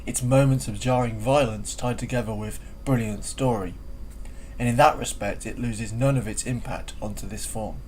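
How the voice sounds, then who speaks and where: A man's voice: mid-range voice, normal speed, darkish tone, low-quality recording. A man giving a semi-scripted review as spoken commentary. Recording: faint background noise.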